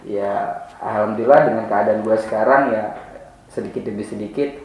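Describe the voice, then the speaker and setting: A man's voice: on the low side, moderate pace. A man from Madura, a podcast guest chatting casually, self-assured and slangy, his voice jumping up to stress points. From Madura, Indonesia. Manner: animated and self-assured, slangy, voice jumps up for emphasis. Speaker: podcast guest, content creator. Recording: echoey room with faint noise.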